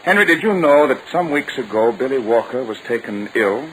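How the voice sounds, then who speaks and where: A man as exasperated, animated middle-aged father. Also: mid-range voice, moderate pace, natural voice. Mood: stern. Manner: firm, questioning, authoritative. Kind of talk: 1940s radio comedy acting. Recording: noisy vintage 1940 radio broadcast recording.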